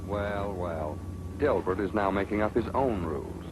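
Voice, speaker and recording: A man's voice: on the low side, moderate pace, noisy background. A man narrating a 1940s training film in an authoritative, sardonic manner. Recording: old 1940s film soundtrack with music.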